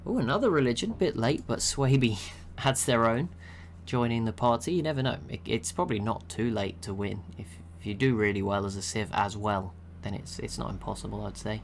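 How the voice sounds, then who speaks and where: Man, young adult, low voice, chatty gaming voice-over, faint background hum. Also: brisk, creaky, hardly any pauses. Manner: relaxed, chatty, laughs to himself. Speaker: gaming channel host. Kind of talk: casual commentary over an AI-only strategy game.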